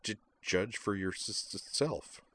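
A man voicing a shy teenage girl student with a heavy stutter who speaks softly and hesitantly. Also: deep voice, a little slow. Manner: soft, hesitant.

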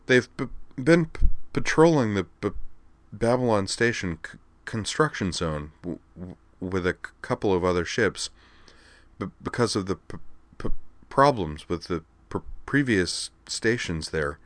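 A man's voice: on the low side, lively intonation, measured pace, no room sound. A man voicing a wry young woman roommate in an audiobook, who stutters heavily on her words. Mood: explaining. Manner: heavy stutter, dry and wry. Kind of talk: audiobook character voice.

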